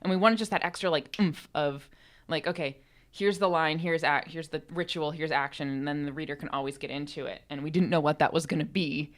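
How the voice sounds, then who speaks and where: A woman with a notably low-pitched voice chats casually as a podcast co-host. Her delivery is enthusiastic and emphatic, in the loose style of informal conversation. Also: fairly even intonation, fairly quick.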